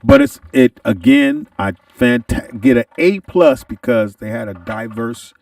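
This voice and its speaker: Male podcast host, gravelly and animated, casual comedic storyteller; slightly off-mic, dry room. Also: medium pitch, unhurried, no room sound, distant mic. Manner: rambling storyteller, loud outbursts.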